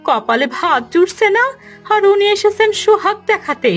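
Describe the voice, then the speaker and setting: A woman voicing a harried, bitter poor village mother in a cartoon, sharp-tongued and lamenting. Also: very high voice, very animated, moderate pace, full character voice. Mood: scolding. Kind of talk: Bengali cartoon voice acting. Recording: music behind the voice.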